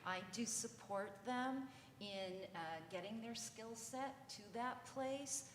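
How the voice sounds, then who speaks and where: A woman's voice: on the high side, slow pace. A woman who coordinates an English language program, speaking thoughtfully and personally on a panel. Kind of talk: reflective panel remarks. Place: conference hall. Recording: echoey hall, faint noise.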